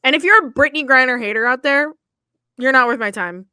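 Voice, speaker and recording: A woman's voice: high-pitched, quick pace, bright, forceful tone, smooth, no room sound. Brief: twenties woman, casual podcast co-host, slangy, swears freely, slightly wheezy. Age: 20s. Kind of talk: casual sports chat.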